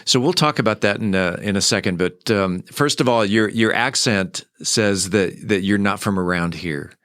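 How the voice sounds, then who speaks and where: A man from California hosting a casual studio interview, chatty and relaxed, often repeating words while he thinks. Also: fairly low pitch, moderate pace, no room sound. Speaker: podcast host.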